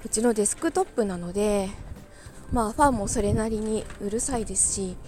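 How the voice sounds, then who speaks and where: A woman chatting casually on her own like a morning podcast host, talkative and quick to laugh. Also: medium pitch, darkish tone, faint music behind. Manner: chatty, laughs easily while talking. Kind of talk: casual solo morning chat.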